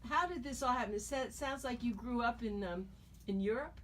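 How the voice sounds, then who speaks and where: A woman's voice: fairly high pitch, brisk, fairly bright tone. Chatty woman radio host. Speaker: radio talk show host. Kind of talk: radio interview. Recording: radio broadcast from a distance, faint noise.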